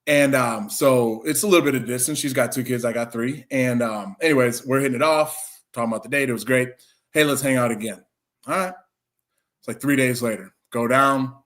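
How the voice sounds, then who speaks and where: A man's voice: medium pitch, fairly quick, a bit bright. A man in his forties telling a long, rambling story in a loose, chatty way. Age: 40s. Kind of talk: casual chat, rambling storytelling.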